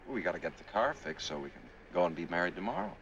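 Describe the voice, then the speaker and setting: An actor playing an eager, protective young American bridegroom in brisk, affable 1940s film style. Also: male voice, low voice, sing-song, creaky, fairly bright tone, exaggerated voice. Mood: reassuring. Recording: muffled, noisy old film soundtrack.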